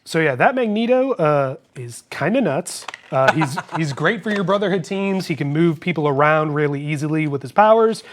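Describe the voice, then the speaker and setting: The co-host at a tabletop hobby show, a man with a slightly high voice who casually explains how each piece plays. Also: lively intonation, moderate pace, few pauses. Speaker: co-host explaining the game rules.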